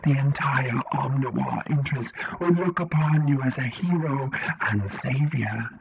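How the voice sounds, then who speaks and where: A man's voice: medium pitch, vocal fry, background noise. A man voicing a solemn, insistent tentacled alien leader in an audio story, speaking in formal pronouncements through an alien voice filter. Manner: slow, stately, formal pronouncements. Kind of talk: audio short story character. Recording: muffled lo-fi filtered sound, music behind.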